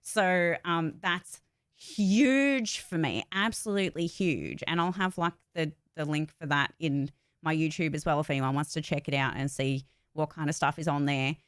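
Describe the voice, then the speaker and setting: A woman's voice: sits low, normal speed, dry recording, clean studio sound. A woman chatting casually and informally on a livestream, rambling a little as she gives updates. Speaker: voice actor and streamer giving updates.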